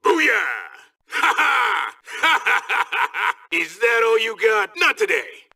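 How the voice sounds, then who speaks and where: A boisterous armored racer, all cocky trash talk. His voice is strikingly high, sharp and gravelly, with lively swoops of pitch and frequent bursts of shouting. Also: very slow, recorded from a distance, exaggerated voice. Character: brash muscular male kart racer in armor. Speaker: game character voice. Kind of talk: video game voice clips.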